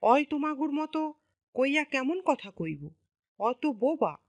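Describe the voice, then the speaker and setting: A woman's voice: sits high, very animated, a little slow, no echo, full character voice. A woman playing a distressed, stern middle-aged mother with a rural Bangladeshi accent in a dramatised audio story. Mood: pleading. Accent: rural Bangladeshi dialect. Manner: plaintive, reproachful. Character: distressed, stern mother defending her daughter-in-law.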